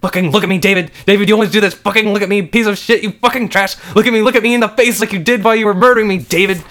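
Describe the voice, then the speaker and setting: A man's voice: high voice, racing, fairly bright tone, voice pushed up. A man voicing the anguished, accusing ghost of a murdered wife in a horror story, pleading and demanding in repeated cries. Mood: screaming rage. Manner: pleading and screaming, repetitive, demanding.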